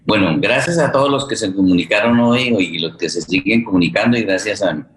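A middle-aged Colombian man introducing a guest as host, informally, often halting and repeating phrases as he searches for words. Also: fairly low pitch, a bit bright. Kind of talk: informal spoken introduction on a live forum. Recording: roomy echo on the voice.

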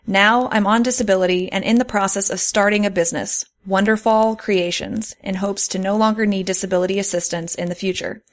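A woman's voice: mid-range voice, fairly even intonation, a little fast, few pauses. A woman hosting a podcast who reads prepared explanations with care and sometimes laughs at her own slips. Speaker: podcast host.

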